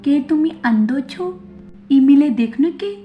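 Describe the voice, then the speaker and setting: A young Nepali bride performed by a woman with a high voice and a Nepali accent. She is polite but blunt, choosing words haltingly in broken grammar. It is a cartoon tale on dull audio with music behind. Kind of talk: Hindi animated moral story acting.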